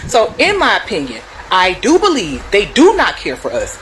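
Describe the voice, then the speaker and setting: A woman's voice: medium pitch, wildly swooping pitch, normal speed, fairly bright tone, low-quality recording. An American woman hosting a video, casually sharing stories and opinions in an emphatic, digressive way. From United States. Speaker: video host. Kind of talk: casual storytelling and opinion to viewers. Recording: clear background noise.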